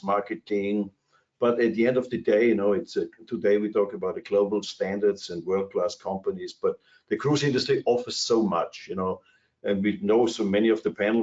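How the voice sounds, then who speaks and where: An older man with an Austrian accent tells his career story on a video call. His voice sits low, and he rambles with plenty of fillers and restarts. The call audio is dull and lo-fi. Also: a little mellow. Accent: Austrian German. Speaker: cruise industry executive. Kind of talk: webinar panel talk. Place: his office.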